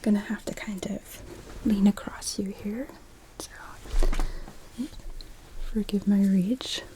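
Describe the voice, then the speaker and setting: A woman performs an ASMR medical roleplay as a doctor, mostly in a soft, breathy whisper. Her tone is mellow and gentle, and she gives reassuring, unhurried instructions. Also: medium pitch, little pitch movement, low-quality recording.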